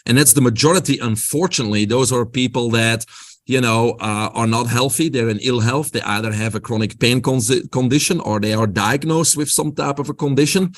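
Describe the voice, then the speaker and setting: A man's voice: medium pitch, fairly quick. A man with a Belgian accent, a guest on a podcast interview, explaining his health coaching with an upbeat motivational-speaker delivery.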